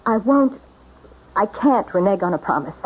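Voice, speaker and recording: An actress playing a dutiful wife torn by forbidden love, earnest and strained, in 1970s radio drama style. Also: female voice, medium pitch, big pitch swings, normal speed, a little hiss or hum, close to an everyday voice. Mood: anguished, resolute. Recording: dull, band-limited radio drama recording.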